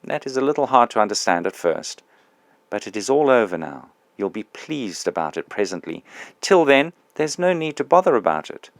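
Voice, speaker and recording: A man reading an audiobook, voicing a radiant male spirit who speaks softly, calmly and reassuringly. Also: fairly low pitch, moderate pace, no echo. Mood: serene. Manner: soft, calm, patient and reassuring. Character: serene radiant male spirit, gentle and joyful.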